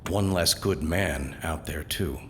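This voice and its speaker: An actor playing a dismayed, dutiful officer in an audio drama, speaking plainly and sombrely. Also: male voice, rumbling low, slow, a bit bright, a little hiss or hum, exaggerated voice. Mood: dismayed, resigned. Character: dismayed, dutiful male officer making an arrest.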